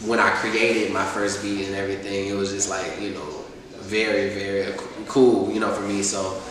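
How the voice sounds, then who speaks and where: A man in his twenties from Atlanta, talking in a relaxed, laid-back way as a rapper being interviewed, often laughing and filling with "you know". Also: lowish voice, normal speed, a crowd in the background. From Atlanta, USA. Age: 20s. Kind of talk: casual interview chat. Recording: echoey distant room sound with faint noise.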